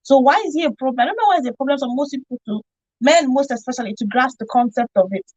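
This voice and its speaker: Slightly high-voiced woman, dull audio. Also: quick pace, darkish tone, no room sound. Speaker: radio show panelist. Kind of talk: conversational talk show discussion.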